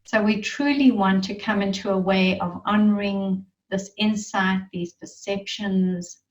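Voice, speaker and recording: A woman leading a guided meditation in a soothing, reverent manner, leaving long pauses between phrases. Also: medium pitch, fairly even intonation. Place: online group gathering. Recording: muffled, roomy, distant online-call sound.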